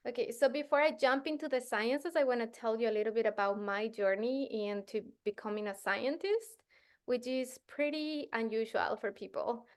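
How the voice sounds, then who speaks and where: A woman from Ecuador in her thirties presents a science workshop for teachers. Her voice sits a little high, and she talks casually, with many ums, uhs and restarts. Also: normal speed. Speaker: postdoctoral researcher presenting to teachers.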